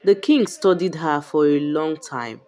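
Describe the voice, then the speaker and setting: A woman storyteller narrating a folktale in a dramatic, suspenseful way, with deliberate phrasing and short pauses. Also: low-pitched, mellow.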